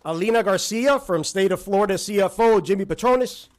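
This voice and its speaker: A man emceeing a civic ceremony, briskly reading out guests' names with frequent filler "uh"s. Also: high-pitched, slight background noise. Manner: brisk name listing with filler "uh"s. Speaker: master of ceremonies.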